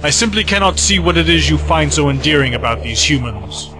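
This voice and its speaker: A man's voice: on the high side, lively intonation, natural voice. Man voicing a scheming villain, smug, cold, commanding, music bed. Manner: smug, menacing, measured. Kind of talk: fan audio drama. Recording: music bed behind voices.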